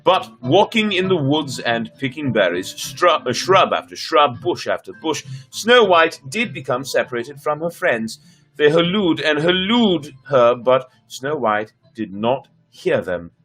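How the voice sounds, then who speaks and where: A man's voice: fairly high pitch, sing-song, a little slow, fairly bright tone, breathy edge, poor audio. A man reading stories aloud on a casual livestream, theatrical, with playful asides to his viewers. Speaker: storyteller streamer. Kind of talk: casual livestream storytelling, dramatic read-aloud. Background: background music.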